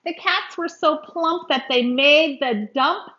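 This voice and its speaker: A woman reading a children's story aloud, expressively, with dramatic emphasis and drawn-out words. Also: high voice, bright, forceful tone, echoey room, muffled recording. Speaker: children's book reader.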